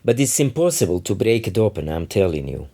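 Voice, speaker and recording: A man's voice: low voice, sing-song, brisk, dark tone, heavily acted. A man reading aloud the part of an old, officious border guard who lectures dismissively in a literary story. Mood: stern, impatient. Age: older.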